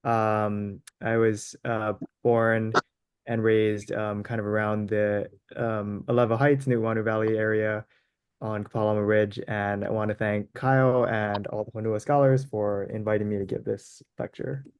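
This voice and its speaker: A man in his thirties from Hawaii speaks in a lowish, smooth and resonant voice. He lectures over a video call in a halting way, full of ums and restarts. The sound is dry and close, with no room around it. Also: flat delivery, dry recording. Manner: hesitant, many ums and restarts. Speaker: guest lecturer.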